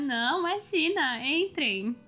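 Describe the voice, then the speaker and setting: A woman's voice: high voice, expressive, very slow, bright, forceful tone, exaggerated voice. Kindly Brazilian cartoon mother played by a woman. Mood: welcoming. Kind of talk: children's cartoon voice acting.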